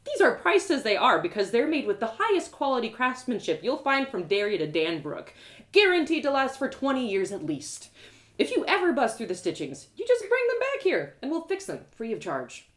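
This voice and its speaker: A hearty male cobbler from a storybook, put on by a woman reader. He is plain-spoken and proud of his trade, with a salesman's patter. His pitch moves around in a lively way. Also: normal speed. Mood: proudly defensive.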